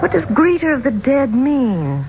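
An actress playing an inquisitive, polite and poised woman visitor, expressive and curious, in a 1970s radio drama. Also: sits high, a little slow, heavily acted. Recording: muffled, hissy 1970s radio recording.

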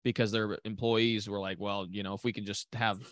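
A man's voice: on the low side, level tone, fairly quick, a bit bright, dry recording, clean studio sound. A man hosting a casual call interview, hesitant, often repeating words and saying "you know". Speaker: interview host. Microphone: call audio.

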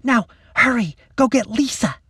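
A narrator voicing a bright, bossy, scheming schoolgirl in an audiobook, excitable and urgent. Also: mid-range voice, normal speed, breathy edge, no echo, studio quality, pitched above the performer's own voice, full character voice.

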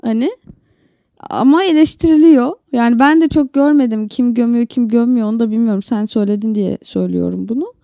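A woman's voice: on the high side, fast talker, warm tone, dry recording, clean studio sound. A woman co-hosting a podcast, casually talking through her opinions in conversation. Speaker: podcast co-host. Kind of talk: casual chat, opinionated discussion.

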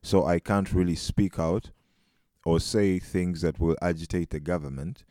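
Deep, mellow Ugandan man. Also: fairly even intonation, measured pace, no room sound, clean studio sound. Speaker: podcast guest. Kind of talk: reflective discussion, rhetorical questions.

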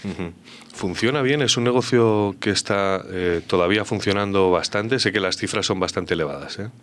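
A man's voice: deep voice, normal speed, a bit bright. A man interviewing a guest, asking probing questions with frequent hesitations and filler sounds.

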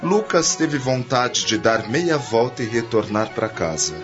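A man's voice: mid-range voice, animated, a little slow, lightly acted. A man narrating a scripted radio drama. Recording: dull radio play with background music.

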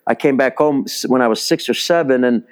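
Smooth-voiced man telling stories. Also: medium pitch, fairly quick. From Chicago, US. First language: Italian. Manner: rambling, many fillers and restarts. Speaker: baseball instructor, podcast guest. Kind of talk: casual interview storytelling.

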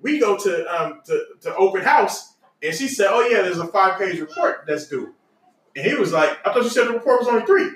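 A man's voice: high voice, lively intonation, brisk. An adult man casually telling family stories, candid and repeating himself as he goes. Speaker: podcast host. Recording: roomy echo, slightly distant mic.

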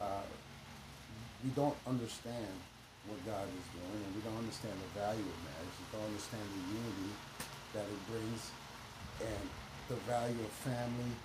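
A man's voice: fairly low pitch, fairly even intonation, normal speed. A man, a minister and educator, talking as a podcast guest in an emphatic, preachy way, full of "you know" fillers. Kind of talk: conversational podcast interview. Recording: echoey room with background noise.

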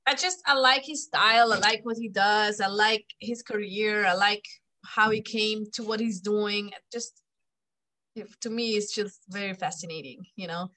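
A woman's voice: mid-range voice, fairly even intonation, normal speed, pushed and bright, rough recording. A middle-aged woman from Switzerland with a Swiss accent, chatting as a chef in a casual interview, laughing often and tossing in "you know".

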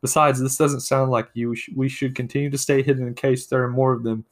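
A man doing a worried young male dragon, pleading, urgent, storybook reading, flat delivery. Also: medium pitch, fast talker, natural voice.

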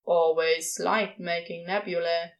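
A woman voicing a laconic male supernatural being in a story, casual and halting, bashful with tenderness underneath. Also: fairly low pitch, little pitch movement, pitched below the performer's own voice. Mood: bashful pride. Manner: casual, halting, clipped phrasing. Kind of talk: podfic character dialogue.

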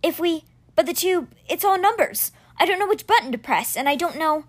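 A woman voicing an overwhelmed teenage boy, talking high and strained. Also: lively intonation, fairly quick. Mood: anxious.

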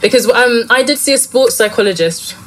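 A woman's voice: fairly high pitch, lively intonation, brisk, fairly bright tone, slight background noise, low-quality recording. A young woman hosting a casual podcast chat, asking long rambling questions and correcting herself as she goes. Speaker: podcast host.

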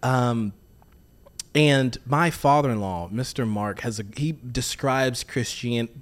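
A man's voice: medium pitch, slow. A man who grew up in Tanzania, a guest on a podcast, telling playful stories and mock-quoting people in casual chat. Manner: playful storyteller, mock quotes.

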